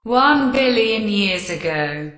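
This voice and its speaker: Woman playing time-machine announcer, radio drama, very slow measured delivery, echoey, noisy recording. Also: mid-range voice, lively intonation, a bit bright. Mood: solemn announcing. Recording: echoey long reverb, clearly noisy, with beeps.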